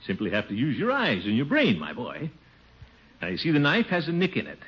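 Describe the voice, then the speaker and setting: A blustery middle-aged American uncle in a 1950s radio comedy. He is pompous and boastful, with a lively swooping delivery and chuckles. The old recording sounds dull. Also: a little high, brisk. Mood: self-satisfied.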